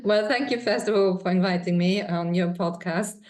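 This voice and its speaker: A woman from Austria with an Austrian accent, speaking as a guest nutritionist in a calm, measured and reflective way. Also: on the low side. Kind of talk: interview answers.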